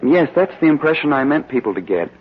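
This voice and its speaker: An actor playing a smooth, scheming husband, suave and coaxing with calm menace, in 1940s radio drama style. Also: male voice, on the high side, fast. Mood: coldly menacing. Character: smooth, scheming husband, coldly murderous beneath affection. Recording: dull, muffled old radio broadcast recording.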